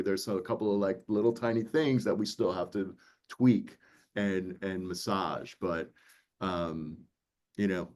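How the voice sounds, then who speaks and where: A man talking casually as a producer on a panel, animated and acting out his own remarks as he tells stories. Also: lowish voice. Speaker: audiobook producer and interview guest.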